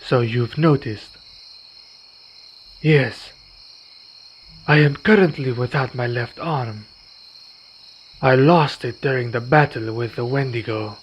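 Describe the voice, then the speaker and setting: A man playing a weary, courteous vampire in an ASMR roleplay, speaking in formal, measured phrases. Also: medium pitch, slightly rough. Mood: calm, weary. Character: weary, courteous male vampire, wounded but calm. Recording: dull recording with night insect noise. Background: crickets and night insects.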